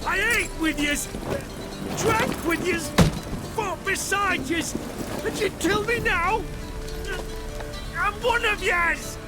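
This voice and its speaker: A man's voice: very high voice, slow pace, pauses often, loud music behind the voice. An actor playing a desperate condemned bandit in a fantasy game, wailing and pleading for his life. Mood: desperate, betrayed. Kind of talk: fantasy video game dialogue.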